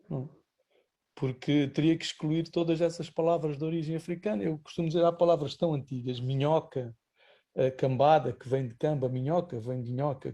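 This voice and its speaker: A man with a warm, mellow voice pitched a touch above the usual, speaking as a guest writer. He reflects in a relaxed conversational way and keeps seeking the listener's agreement with short tag questions. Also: creaky, breathy edge. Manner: thoughtful, tags points with "não é?".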